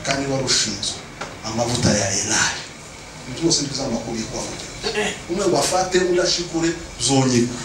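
A man's voice: medium pitch, fast talker. Emphatic male preacher in a very echoey hall. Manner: emphatic, repeats phrases, calls for responses. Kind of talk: sermon to a congregation. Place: indoor church stage at a pulpit. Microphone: handheld microphone through room speakers. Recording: very echoey hall, distant, noisy.

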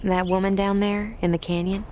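A woman's voice: medium pitch, little pitch movement, normal speed, darkish tone, slight background noise, lightly acted. An actress playing a bold, level-headed female outlaw in a western video game, speaking with firm composure. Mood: calm, firm. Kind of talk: video game cutscene dialogue.

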